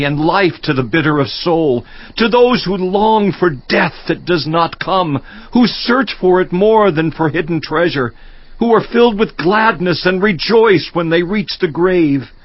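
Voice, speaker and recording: A male actor plays a tormented, grieving man of ancient scripture. His lament is solemn and very slow, delivered theatrically in a slightly raised male voice. The audio is a bit muffled, with music beneath it. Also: on the high side. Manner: mournful, measured, solemn declamation. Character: anguished, grieving Job cursing his birth. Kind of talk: dramatized scripture reading, theatrical.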